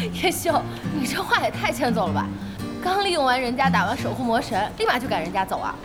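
A spirited young woman in an audio drama. Her high voice swings widely as she teases and banters. Clear music plays behind her. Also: very animated, normal speed, long pauses. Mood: amused, teasing. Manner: giggly, bantering. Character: spirited young woman, amused, teasing friend of a gamer. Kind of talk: Chinese audio drama acting.

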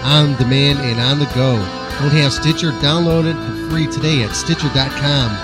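A man in mid-range voice reads a scripted promo spot in an even, smooth manner. Loud guitar music plays underneath him throughout. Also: mid-range voice, moderate pace. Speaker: announcer. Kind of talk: scripted ad read.

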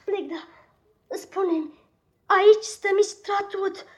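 A woman's voice: very high-pitched, steady tone, a little mellow. A woman playing a timid, earnest ten-year-old orphan boy in a 1950s radio drama, polite and emotional. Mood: timid, pleading.

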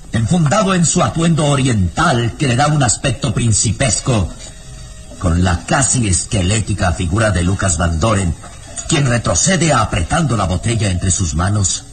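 A man's voice: mid-range voice, lively intonation, moderate pace, over music. A man narrating an old radio drama in a vivid, declamatory way.

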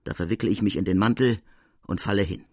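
A man's voice: lowish voice, quick pace, darkish tone, husky, no room sound, clean studio sound. A man voicing an agitated, bitter police officer in dramatic, emphatic storytelling for an audiobook.

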